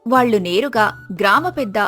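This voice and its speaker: High-voiced woman narrating a story over music. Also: lively intonation, normal speed, fairly bright tone. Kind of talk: scripted narration of a Telugu moral tale.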